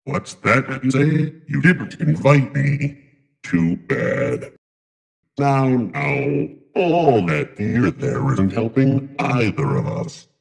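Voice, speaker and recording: Gravelly, taunting animatronic showman bear. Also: medium pitch, big pitch swings, exaggerated voice. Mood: smug, taunting. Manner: slow, taunting, theatrical. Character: smug, menacing male animatronic bear entertainer. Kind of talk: video game character voice lines.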